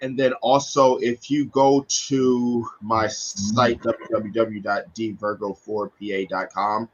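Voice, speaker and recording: Male teacher, guest on a read-along stream. Unhurried, husky, lo-fi video-call sound. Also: fairly low pitch, level tone. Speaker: guest educator and children's book reader. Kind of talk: storybook read-aloud and informal talk. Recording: dull lo-fi online stream.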